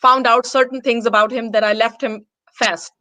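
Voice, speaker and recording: Female interview guest from Denmark, fairly high voice, personal storytelling, dry sound. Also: steady tone, normal speed, sharp tone, dry recording. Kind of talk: personal account in casual interview.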